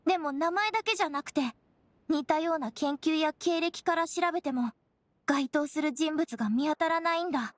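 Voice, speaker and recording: A woman's voice: high voice, normal speed, no room sound, natural voice. A woman voicing an earnest, slightly shy teenage girl apprentice in an anime game, informal and a little hesitant.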